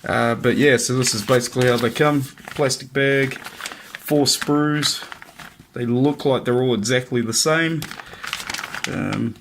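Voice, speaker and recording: A man's voice: medium pitch, slow, noisy background. A man with a head cold, chatting easygoing through a hobby product review with many ums. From New Zealand.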